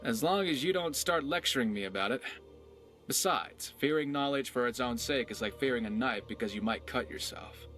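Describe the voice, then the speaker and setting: A man's voice: medium pitch, lively intonation, normal speed, a bit bright, natural voice. Man acting a wry pirate adventurer, faint music behind. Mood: wry, persuasive. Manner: wry, conversational, philosophical. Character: roguish, witty pirate adventurer companion, male. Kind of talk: video game companion dialogue.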